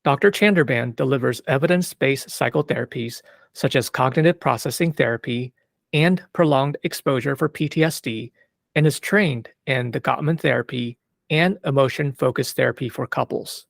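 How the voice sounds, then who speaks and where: A man hosting a psychology podcast, reading a measured, earnest introduction and asking his guest questions. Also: mid-range voice, gravelly, no echo, clean studio sound. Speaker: podcast host. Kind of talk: scripted intro then interview questions.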